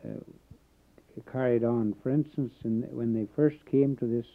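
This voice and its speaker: A man born in Canada recalling his Welsh community in a halting oral history interview, pausing often. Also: medium pitch, steady tone, creaky. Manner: halting, many filler pauses. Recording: tinny phone-like old tape.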